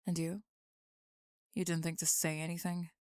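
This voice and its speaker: A woman's voice: fairly low pitch, flat delivery, moderate pace, darkish tone, no room sound, clean studio sound, close to an everyday voice. A woman playing a bossy, possessive young student bully, cold and scolding, in an ASMR roleplay. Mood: irritated, scolding.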